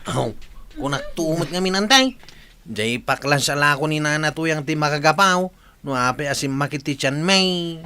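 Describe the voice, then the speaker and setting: Bright-voiced whining villager. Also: male voice, fairly high pitch, expressive, moderate pace, slight background noise. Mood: worried. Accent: Ilocano Philippine. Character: embarrassed, whining villager with an upset stomach. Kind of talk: comedic radio drama acting.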